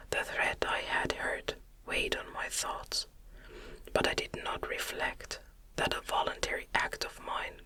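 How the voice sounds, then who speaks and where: A reader whispers a classic novel in ASMR style, with no voice behind the breath. The sound is bright and crisp at the edges, close to the microphone. The phrasing is slow, soothing and deliberate, with small pauses between phrases. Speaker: audiobook reader.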